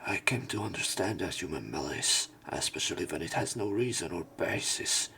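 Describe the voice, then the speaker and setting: A man reading a visual novel aloud as a let's play narrator, in an expressive storytelling style. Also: low voice, moderate pace, creaky, a little hiss or hum.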